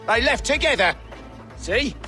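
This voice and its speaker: An actor playing a cheeky, scornful commoner in a video game, with taunting, sing-song mockery. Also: male voice, very high-pitched, animated, unhurried, bright, forceful tone, faint music behind, full character voice. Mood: smug, taunting. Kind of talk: video game character acting.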